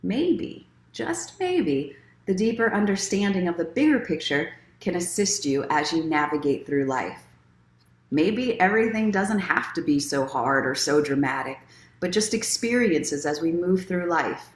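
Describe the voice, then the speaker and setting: Female online story-time host, low-pitched, encouraging and conversational, expressive reader, roomy echo. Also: unhurried. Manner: encouraging, conversational, self-help tone. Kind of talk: expressive reading aloud from own book, mixed with casual livestream chat.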